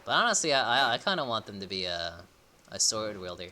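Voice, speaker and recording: A young man giving casual, halting, slangy commentary as he streams a game. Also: lowish voice, sing-song, sharp tone, a little hiss or hum. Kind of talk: casual live play-along commentary.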